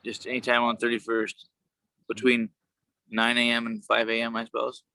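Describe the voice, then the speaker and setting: A man chatting casually on a video call, with a worn, sometimes gravelly voice and a halting, rambling way of talking. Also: medium pitch, monotone, bright, forceful tone, no echo. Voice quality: gravelly at times. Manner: rambling, halting, many restarts. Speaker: show co-host.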